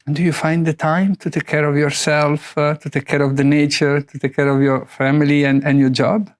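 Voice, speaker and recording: A man's voice: a little high, level tone, fairly quick. A middle-aged man interviewing a guest in a conversational style, searching for his words with a hesitant delivery.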